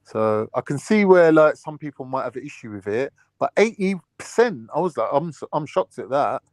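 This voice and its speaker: A man's voice: on the high side, sing-song, normal speed, a little mellow, dry recording. A man chatting on a podcast panel, rambling and filling his talk with "like" and "you know what I mean". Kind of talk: casual debate chat.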